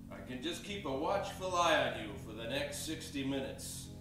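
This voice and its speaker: A man's voice: mid-range voice, expressive, moderate pace, over music, natural voice. A man playing a taunting, hard-nosed prison guard in an old-time radio play. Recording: echoey stage, faint noise.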